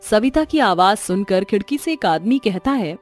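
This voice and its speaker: A woman's voice: medium pitch, expressive, normal speed. A woman narrating a scripted moral story as its storyteller. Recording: music playing behind the voice. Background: background music.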